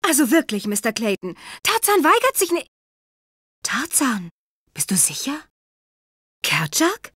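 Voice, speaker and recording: An actress playing a spirited, polite young woman researcher in a video game, with expressive, emphatic delivery. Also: high voice, a little slow, long pauses, breathy edge, dry recording, studio quality, exaggerated voice. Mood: concerned. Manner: expressive, emphatic, well-mannered. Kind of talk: animated video game voice acting, dubbed in German.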